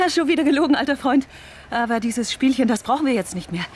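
Vengeful woman, high-pitched and taunting. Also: lively intonation, fairly quick, a little hiss or hum. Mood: mocking. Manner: taunting, intimate, theatrical, laughing scornfully. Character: vengeful cunning woman restorer, playful and obsessive. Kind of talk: German radio drama acting.

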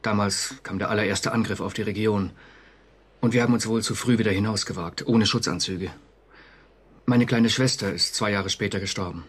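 An actor in a German radio drama playing an anxious, conscientious civil servant, confessional and agitated. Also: male voice, on the low side, steady tone, moderate pace, fairly bright tone, breathy edge. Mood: haunted, confessing.

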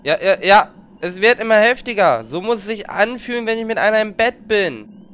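Crude guild joker voiced by a man, lively voice, comedy audio drama. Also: sits high, moderate pace, clear, resonant tone.

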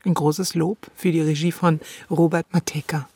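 Woman co-hosting, low voice, dry studio. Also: fairly even intonation, moderate pace, darkish tone, husky, no room sound. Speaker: podcast co-host. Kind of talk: conversational radio drama discussion.

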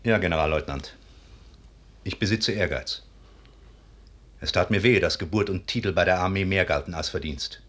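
Bitter proud colonel in old 1950s radio play, really deep n husky, forceful, big declaiming. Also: male voice, normal speed, pauses often. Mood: bitter, wounded. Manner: declamatory, intense, sinking to harsh whispers. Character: proud, wounded male army colonel, bitter and honour-bound. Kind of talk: 1950s German radio drama stage-verse acting.

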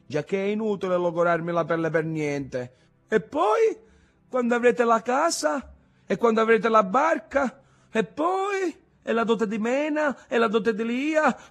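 A man voicing a bitter, rebellious young man in an audiobook, loud and scornful. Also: fairly high pitch. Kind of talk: audiobook character reading.

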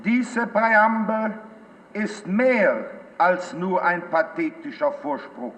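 A male professor-politician declaims at a very slow pace in a high voice. His formal, weighty lecture carries through a long reverberant hall. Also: fairly bright tone, a little hiss or hum. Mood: weighty, lecturing. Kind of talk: formal explanatory speech.

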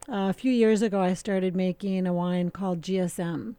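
A middle-aged woman with a mellow, warm voice chats as a guest on a radio show. She is relaxed and talkative, pausing often on fillers and laughing easily. Her voice sits close to the studio mic and sounds dry. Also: lowish voice, smooth, no echo. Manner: relaxed and chatty, with many filler pauses and easy laughter. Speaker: winemaker guest. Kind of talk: casual radio interview.

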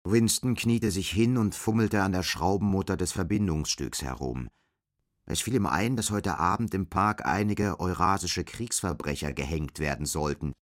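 An actor playing the sober narrator of a bleak dystopian tale in a scripted radio play. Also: male voice, low voice, normal speed, no echo, close to an everyday voice. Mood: sober, measured.

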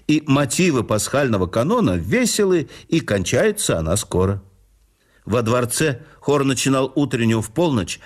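A man reading memoirs aloud as scripted narration for a radio audiobook, measured and expressive, phrases falling at their ends. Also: mid-range voice. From Russia.

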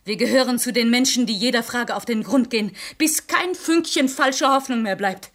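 An actress playing a defiant young princess in a classical radio drama, declaiming with intense contempt. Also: female voice, fairly high pitch, normal speed, fairly bright tone, lightly acted. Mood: defiant pride. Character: defiant, scornful young princess who demands everything or death.

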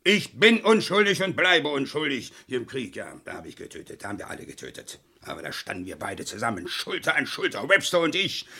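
An actor playing a proud, bitter war veteran who is indignant and defiant, in a theatrical German crime radio play style. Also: male voice, medium pitch, very animated, fairly quick, vocal fry, hardly any pauses, bright, forceful tone, breathy edge, exaggerated voice. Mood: wounded pride. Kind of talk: German crime radio play acting, mid to late 20th century.

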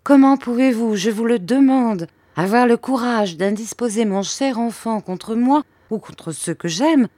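A woman's voice: mid-range voice, expressive, unhurried, hardly any pauses, darkish tone, no echo, pitched above the performer's own voice. A woman voicing a petulant, self-pitying young mother in an audiobook, plaintive and exclaiming. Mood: petulant distress.

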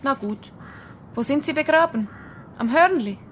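A woman's voice: high-pitched, animated, unhurried, background noise. An actress in a radio play as a prickly woman who questions everything in a testy, challenging way. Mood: cool, wary. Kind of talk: naturalistic radio drama dialogue.

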